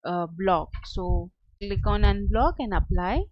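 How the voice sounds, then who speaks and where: A woman working as a software trainer, giving a step-by-step screen tutorial in a hesitant, halting way. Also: medium pitch, a little hiss or hum. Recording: dull lo-fi close dry audio.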